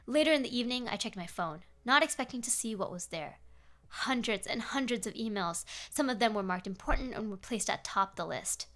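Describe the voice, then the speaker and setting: A woman's voice: on the high side, expressive, a little fast, a bit bright. A woman narrating a horror story aloud in a dramatic read-along style. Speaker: streamer reading horror stories aloud.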